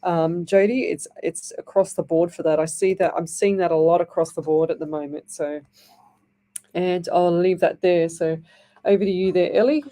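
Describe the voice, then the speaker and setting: Woman, psychic radio host, mellow tone, loose and rambling delivery. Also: on the low side, steady tone, normal speed. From Australia. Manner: rambling, self-interrupting, many fillers. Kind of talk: live psychic reading, casual chat.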